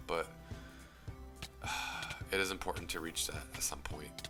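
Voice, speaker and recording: A young man in earnest, reflective commentary whose phrases trail off into breathy near-whispers. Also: a bass voice. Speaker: YouTube commentator. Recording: music playing under the voice.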